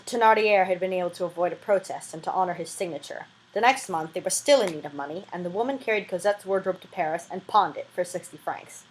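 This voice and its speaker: A woman's voice: lowish voice, poor audio. A woman reading a classic novel aloud as an amateur audiobook reader, with a dramatic, emphatic delivery and an informal touch.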